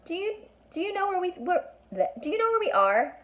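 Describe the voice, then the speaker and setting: A woman voicing an excitable, anxious young twin boy in a storybook, exclaiming and incredulous. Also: very high voice, fairly quick, voice pushed up, full character voice. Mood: nervous, confused.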